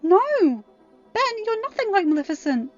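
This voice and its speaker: A woman's voice: extremely high pitch, very animated, a little slow, muffled recording, soft background music, voice pushed up, heavily acted. A woman voicing a troubled, self-doubting teenage girl in a plaintive, insistent way for a story. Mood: upset, insistent.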